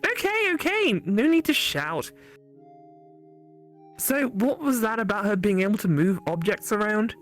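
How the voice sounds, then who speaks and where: A woman voicing a teasing, skeptical female best friend with a sarcastic, animated delivery. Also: mid-range voice, a little slow, pauses often, faint music behind, voice pushed up.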